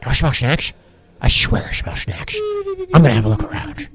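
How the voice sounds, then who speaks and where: A man's voice: medium pitch, huge pitch swings, moderate pace, faint music behind. A man voicing a curious, hungry dog who mutters to himself in an audio drama. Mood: curious, muttering. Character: curious, hungry dog sneaking around at night.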